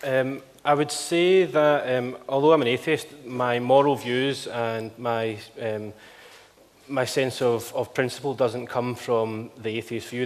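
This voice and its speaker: A man speaking in a public debate, hesitant with many fillers and restarts. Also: medium pitch. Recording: long hall reverb.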